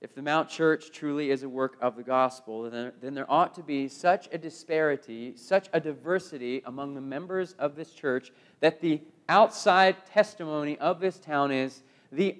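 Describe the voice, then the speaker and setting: A middle-aged American man preaching a sermon, emphatic and given to repeated phrases, moving between loud proclamation and hushed delivery. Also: fairly high pitch.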